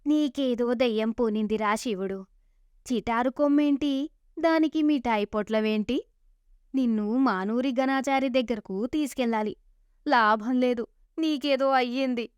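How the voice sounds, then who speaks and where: A woman's voice: a little high, unhurried, clear, resonant tone, no echo, clean studio sound, close to an everyday voice. A woman voicing a poor, weary village mother in a moral tale, chiding and pleading. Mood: worried scolding.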